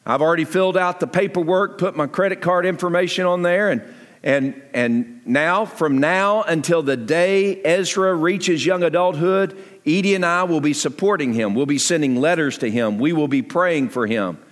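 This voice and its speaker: A man's voice: a little high, moderate pace. Male pastor in a reverberant church hall. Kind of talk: sermon appeal and guest interview before a congregation. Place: church sanctuary, amplified to a congregation. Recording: echoey large hall reverb.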